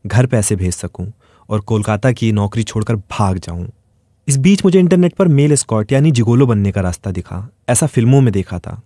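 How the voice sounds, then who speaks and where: A man's voice: medium pitch, mellow, no room sound, studio quality. A man reading a scripted first-person dramatic story as its narrator.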